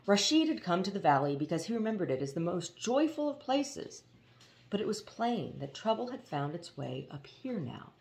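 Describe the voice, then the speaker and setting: A woman reading a novel aloud as expressive storybook narration. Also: mid-range voice, moderate pace. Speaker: audiobook reader.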